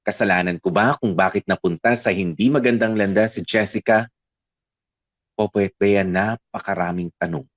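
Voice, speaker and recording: A man's voice: fairly low pitch, moderate pace, no room sound. An actor narrating a man's heartfelt, reflective letter for a radio drama program. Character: reflective man reading his letter aloud.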